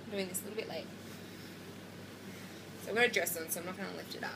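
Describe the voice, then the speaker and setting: A woman's voice: medium pitch, fairly even intonation, quick pace, noisy background. A woman, chatting casually to camera about her pregnancy in a rambling way with lots of ums and likes. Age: 20s. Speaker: pregnancy vlogger.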